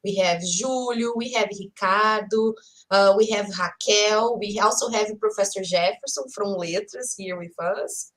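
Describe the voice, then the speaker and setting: A woman from Brazil hosting an online panel in English, briskly introducing guests and moving the session along. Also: mid-range voice, rough recording. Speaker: host professor. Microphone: video call.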